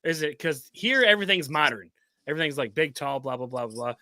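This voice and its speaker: A man's voice: a little high, expressive, brisk, bright, forceful tone, no echo. An American man hosting a casual interview show in an informal, rambling way. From United States. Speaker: talk show host.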